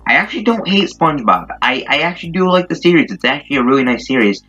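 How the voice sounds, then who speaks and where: Young guy rambling through a youtube storytime, bright forceful voice, kinda muffled lo-fi mic, music behind. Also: fairly high pitch, sing-song, fast, husky. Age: young adult. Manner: rambling, informal, mildly profane. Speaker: YouTuber telling a story about the channel. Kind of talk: casual storytime chat. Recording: dull lo-fi mic. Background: background music.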